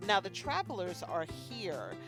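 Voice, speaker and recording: A woman's voice: mid-range voice, sing-song, measured pace, music in the background. A woman hosting a let's play, chatting casually and unhurriedly with fillers, a bit sniffly. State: sniffly, slightly congested. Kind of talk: casual gameplay commentary.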